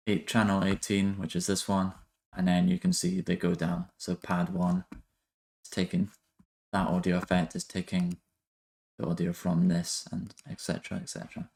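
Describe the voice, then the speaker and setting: A man's voice: low-pitched, gravelly, darkish tone. A man narrating a music production tutorial in a casual, hesitant, improvised way.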